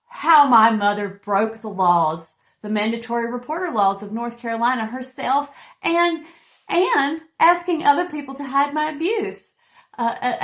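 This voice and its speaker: A woman speaks as the host of a personal vlog. Her voice sits a little high and is captured in a roomy space with noticeable echo. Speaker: channel host.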